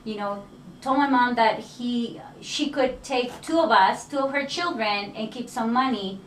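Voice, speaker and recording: A woman's voice: a little high, unhurried, a little hiss or hum. A woman from Mexico in her late thirties, a guest telling her life story in casual conversation, with many fillers and the odd light laugh. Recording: echoey room, slightly distant mic.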